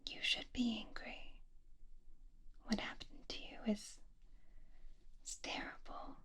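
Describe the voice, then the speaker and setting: A woman voicing a gentle, bookish young woman who is doting and kind, speaking in a breathy whisper for an ASMR roleplay, soft, tender and reassuring. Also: takes it slowly.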